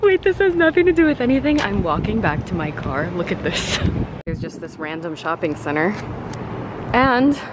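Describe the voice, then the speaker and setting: A woman's voice: on the low side, very animated, moderate pace. A young woman vlogging casually about shopping, playful and exclamatory with theatrical asides, talking to a handheld camera. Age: young adult. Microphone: handheld camera at arm's length.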